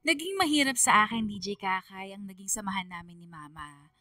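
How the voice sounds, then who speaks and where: A troubled Filipino teenage girl, played by a woman, tells her story in an earnest, plaintive way. Her voice is bright and close, set dry over a soft music bed in radio drama style. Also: medium pitch, expressive, a little fast, no echo. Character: troubled teenage daughter narrating her letter. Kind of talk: radio drama first-person narration.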